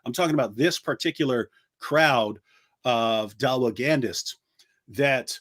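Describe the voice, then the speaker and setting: A middle-aged man co-hosting a casual commentary chat, talking in an animated way with many restarts and the odd mocking impression. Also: mid-range voice, unhurried. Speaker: co-host.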